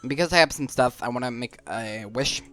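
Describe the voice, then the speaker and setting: A man giving casual gameplay commentary, thinking aloud in an offhand, halting way. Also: medium pitch, over music. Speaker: gaming video host.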